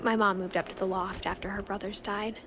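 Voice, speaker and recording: A young woman playing a reflective girl who narrates her family's sad history in a story game, quiet and measured. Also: mid-range voice, flat delivery, natural voice. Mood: reflective, subdued. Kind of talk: scripted first-person game narration. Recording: clear background noise with clicks.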